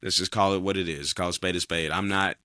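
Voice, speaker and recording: A man's voice: low voice, fairly even intonation, fast talker, pushed and bright, a little hiss or hum. A man in his thirties hosting a podcast in casual chat, rambling and cursing freely, often trailing off mid-sentence. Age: 30s. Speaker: podcast host.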